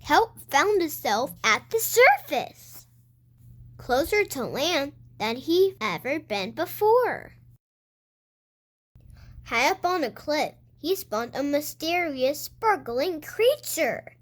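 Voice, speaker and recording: High-voiced child reading a storybook, slow and animated. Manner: expressive, emphatic storytelling. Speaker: host of a read-aloud channel. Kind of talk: storybook read-aloud for kids.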